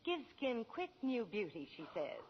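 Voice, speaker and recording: A woman's voice: on the high side, wildly swooping pitch, a little slow, a little hiss or hum. A woman with an American accent as a Hollywood reporter, giving polished, gushing scripted 1940s radio promotional chat. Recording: dull, reverberant old radio broadcast.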